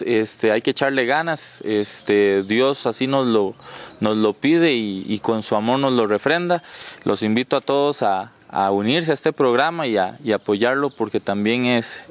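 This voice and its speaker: Man, Costa Rican accent, mid-range voice, halting, informal greeting, open air. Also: mid-range voice. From Costa Rica. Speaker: youth ministry adviser. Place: outdoors in a garden courtyard.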